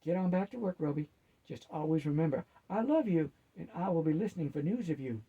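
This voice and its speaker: A man's voice: fairly high pitch, lively intonation, breathy edge, phone-quality sound. A man voicing a wise, loving old grandmother who speaks firmly and emphatically. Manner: emphatic, firm, drawn-out delivery.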